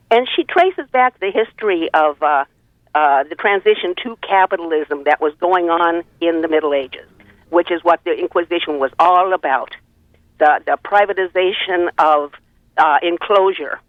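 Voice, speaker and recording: A woman's voice: on the low side, normal speed, no echo. A woman calling a radio show by telephone, chatting in a rambling, earthy, opinionated way. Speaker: caller to a radio talk show. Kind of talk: casual opinionated chat. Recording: tinny, band-limited phone line.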